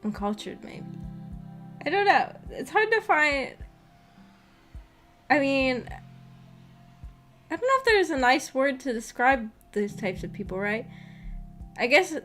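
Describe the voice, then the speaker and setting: A woman's voice: high voice, big pitch swings, slow, long pauses, a bit bright. A young adult woman chatting casually on a livestream, thinking aloud in a hesitant, rambling, slightly giggly way. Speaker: streamer reading and answering live chat. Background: background music.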